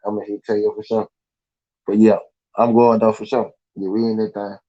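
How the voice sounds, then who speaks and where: Laid-back Southern man, low mellow voice. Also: little pitch movement, normal speed, lo-fi sound. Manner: laid-back, slangy, rambling with many fillers. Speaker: podcast host. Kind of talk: casual live-stream chat.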